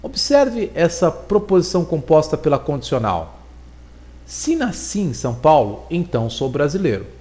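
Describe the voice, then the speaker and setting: A man giving a recorded logic lesson, explaining deliberately and repeating points for emphasis. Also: fairly high pitch, big pitch swings, dark tone. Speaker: teacher.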